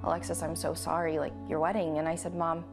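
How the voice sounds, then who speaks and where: Low, creaky-voiced young woman. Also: little pitch movement, fast talker, music in the background. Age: 20s. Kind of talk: personal interview recollection.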